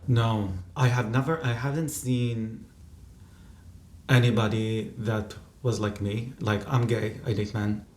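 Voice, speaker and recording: A man's voice: mid-range voice, fairly even intonation, takes it slowly, darkish tone, reverberant. A man from Qatar in his thirties, answering interview questions thoughtfully, with fillers and restarts. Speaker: interview guest, physician and activist.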